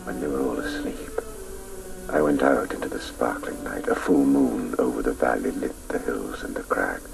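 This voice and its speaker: An actor playing a grown man wistfully looking back on his boyhood grief, narrating a radio play in a slow, gravelly voice. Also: music in the background, full character voice. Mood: wistful, sorrowful. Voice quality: very deep, gravelly. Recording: noisy radio play tape, distant voice.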